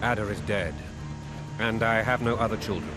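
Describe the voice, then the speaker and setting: A man's voice: lowish voice, moderate pace, natural voice. An actor playing a proud, hot-tempered middle-aged king in a video game, blunt and imperious. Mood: grim, scornful. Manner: imperious, barking orders and oaths. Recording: game audio under loud music.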